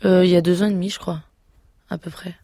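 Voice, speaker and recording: Young woman with a low voice, hesitant. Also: fairly even intonation, quick pace, warm tone, dry recording, clean studio sound. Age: 20s. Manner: hesitant, many fillers and restarts. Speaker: singer guest. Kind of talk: casual interview.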